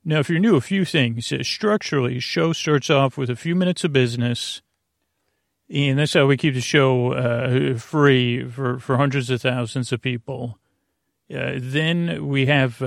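A man with a creaky voice hosting a sleep podcast, giving a halting, meandering, whimsical bedtime monologue. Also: mid-range voice, fairly even intonation, no echo, clean studio sound. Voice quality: creaky, gravelly. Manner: hesitant, meandering, halting delivery with trailing asides.

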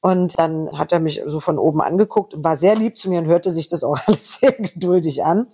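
A middle-aged woman from Berlin with a faint Berlin accent talks as an interview guest. Her voice is a little husky, and she rambles quickly and eagerly through her anecdotes. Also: sits low, dark tone. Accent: slight Berlin. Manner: chatty, fast, rambling anecdotes. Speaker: voice actress guest. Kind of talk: casual interview chat.

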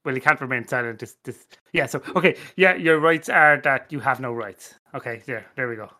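A man's voice: medium pitch, a little fast, bright, forceful tone, natural voice. A man playing a flustered, bumbling junior detective in an unscripted comedy radio play, stammering, hesitant and apologetic. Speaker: podcast panellist.

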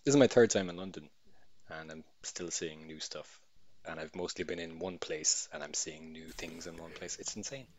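Male podcast co-host, hoarse gravelly voice, wry delivery. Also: moderate pace, darkish tone, lo-fi sound. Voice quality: low whispery rasp, wry.

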